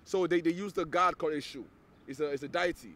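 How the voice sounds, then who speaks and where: Nigerian man, interview guest, a bit high-pitched, earnest and halting, repeats words. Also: a bit bright. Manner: earnest, repeats words while searching for them. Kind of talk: casual interview conversation.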